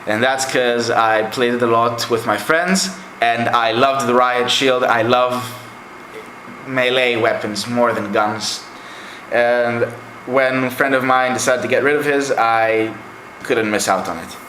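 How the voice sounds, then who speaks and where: Young adult man, native Greek speaker, reviews games in a chatty ramble; bright voice, roomy echo. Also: medium pitch, unhurried, slight background noise. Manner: rambling and chatty, with many fillers. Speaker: game collector vlogger. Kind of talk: casual game collection review. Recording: echoey room.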